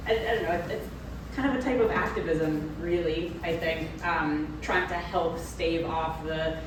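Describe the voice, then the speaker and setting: A woman's voice: low voice, moderate pace, noisy background. A woman moderating a book talk, asking questions thoughtfully and searching for words. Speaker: moderator, editor. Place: event room. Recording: roomy, distant from the mic.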